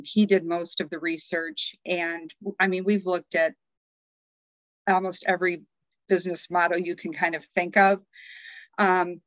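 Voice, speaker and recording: A middle-aged woman, a business owner, talking through her story as a podcast guest with frequent pauses. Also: on the low side, fairly bright tone, no room sound, lo-fi sound. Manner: conversational, many "um" pauses. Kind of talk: podcast interview.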